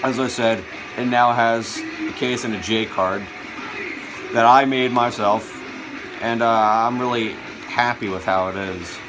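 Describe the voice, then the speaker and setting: A man's voice: medium pitch, steady tone, measured pace, over music, poor audio. A man talking conversationally and with enthusiasm as he hosts a casual show-and-tell video. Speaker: video host showing a music collection.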